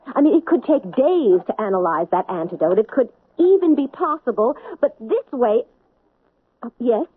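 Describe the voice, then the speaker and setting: An actress playing a brisk chief health officer, speaking in an urgent, clipped, authoritative way. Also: female voice, on the high side, husky. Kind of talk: 1970s radio drama acting. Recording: muffled old radio broadcast.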